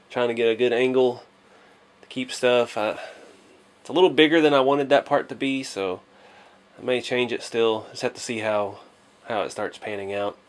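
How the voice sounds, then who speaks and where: A man gives an informal, hands-on commentary in the manner of a hobby video host. His pitch sits in an ordinary middle range for a man. He thinks aloud as he works, explaining each step in plain, casual words.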